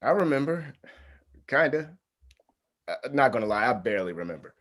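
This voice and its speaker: An actor plays a good-natured young groom with an average male pitch. He is hesitant and a touch awkward, delivering dry, self-deprecating lines casually in a comedy script read. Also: sing-song, normal speed, a bit bright.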